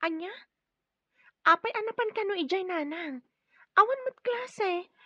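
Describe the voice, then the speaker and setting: A bright, extremely high-pitched child played by a woman asks questions with curiosity and concern. The radio drama sound is dry and slightly muffled. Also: expressive, a little slow, no echo, full character voice. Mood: curious. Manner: inquisitive, concerned. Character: young, attentive schoolchild talking to mother. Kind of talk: Ilocano radio drama acting.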